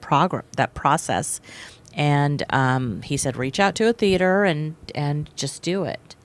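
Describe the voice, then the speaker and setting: A woman's voice: sits low, slow pace. A woman in her forties, an actress and playwright, chatting as a guest in a small studio with a handheld mic. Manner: animated, gestures while talking. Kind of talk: casual interview chat. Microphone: handheld microphone.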